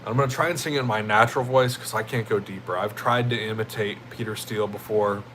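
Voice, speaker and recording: A young man with a deep, jazzy voice, chatting casually with viewers on a livestream in a loose, rambling way. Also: fairly even intonation, fast, fairly bright tone, a little hiss or hum. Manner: laid-back, rambling, thanks chatters as "my friend" and "bro".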